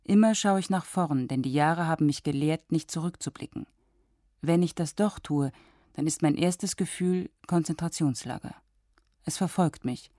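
A woman's voice: low voice, moderate pace, no room sound, clean studio sound. A woman reading scripted documentary narration in a composed, formal manner. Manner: composed, even, formal delivery.

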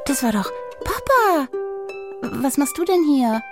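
An anxious, cheeky young schoolgirl from a children's audio story, acted by a woman. The voice sits high and lifts with nerves, with music behind it. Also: sing-song, unhurried. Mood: uneasy.

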